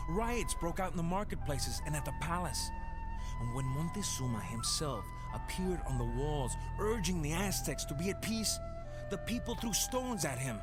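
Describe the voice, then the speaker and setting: An actor playing a proud, brooding Aztec jaguar warrior who narrates history as solemn, theatrical storytelling. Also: male voice, a little high. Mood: indignant. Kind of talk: dramatic scripted historical narration. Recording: music loud behind the voice. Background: music.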